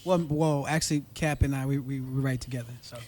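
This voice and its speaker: A man with a raspy voice, a guest singer talking casually in an interview, often trailing off with fillers. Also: mid-range voice, steady tone, brisk, darkish tone. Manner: laid-back, trails off with fillers.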